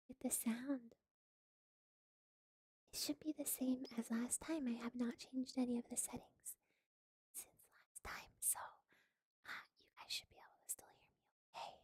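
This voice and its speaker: A woman chats to her stream in a soft, rambling ASMR whisper, pitched high and very breathy. Her throat is sore and her nose stuffed up. The sound is close and dry, with no room around it. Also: dry recording.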